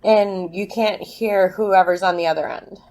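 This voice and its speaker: A woman's voice: medium pitch, steady tone, measured pace, a little mellow, lightly acted, low-quality recording. An actress playing a brusque, skeptical woman scientist in an audio drama, blunt, informal and casually sweary.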